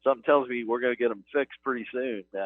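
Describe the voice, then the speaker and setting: A man hosting a halftime radio interview from a stadium press box, talking in a relaxed, folksy way with easy jokes. His voice sits at an everyday middle pitch. The sound comes through thin and phone-like, as on a narrow radio feed. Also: fast talker. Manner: chatty, folksy, jokes with guest. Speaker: radio sports broadcaster.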